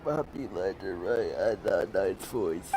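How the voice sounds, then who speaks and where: A man's voice: sits low, expressive, unhurried, a little hiss or hum, poor audio. A man commentating casually on a game livestream, muttering to himself and reading screen text aloud. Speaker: game streamer. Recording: loud game music behind. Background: loud game music.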